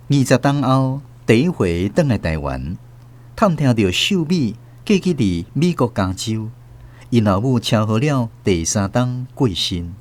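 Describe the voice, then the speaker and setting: A wistful older Taiwanese man, expressive. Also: lowish voice. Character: wistful older emigrant man recalling lost first love. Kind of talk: narrated Taiwanese radio drama.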